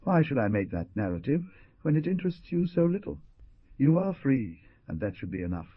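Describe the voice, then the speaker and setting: A man voicing a calm, kindly hunter who explains things in a measured way. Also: mid-range voice, husky, no room sound, voice pushed up. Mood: gentle. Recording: dull lo-fi recording.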